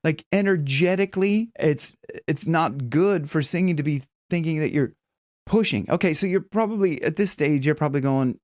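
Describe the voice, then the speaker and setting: A man's voice: on the high side, normal speed, darkish tone, dry recording, clean studio sound. A man teaching singing in a casual, chatty video lesson, talking emphatically and breaking off into short vocal demos.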